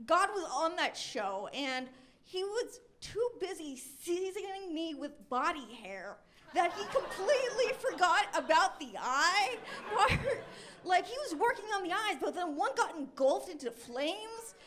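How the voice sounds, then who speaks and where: A high-pitched American woman in her twenties performs stand-up on a club stage. She tells stories in a chatty, self-deprecating way, as a comedian addressing a live crowd. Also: sing-song, measured pace, a bit bright. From Los Angeles, United States. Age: 20s. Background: audience laughter.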